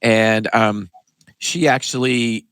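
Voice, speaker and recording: A man who hosts a health podcast and works as a pharmacist, chatting in an animated, emphatic way as he tells stories. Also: lowish voice, slow pace, clean tone, no echo. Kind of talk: casual interview chat.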